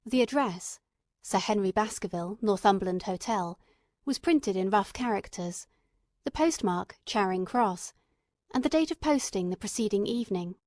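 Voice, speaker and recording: A woman reading scripted literary narration as an audiobook narrator, in a measured, deliberate manner. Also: mid-range voice, lively intonation, a little mellow, no echo.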